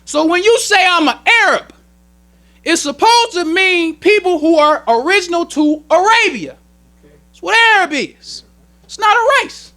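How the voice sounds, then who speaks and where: A man's voice: very high voice, lively intonation, a little slow, sharp tone. An American man preaching as a minister, explaining religious teachings in an emphatic, colloquial way and repeating his key points. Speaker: minister teaching at a temple meeting.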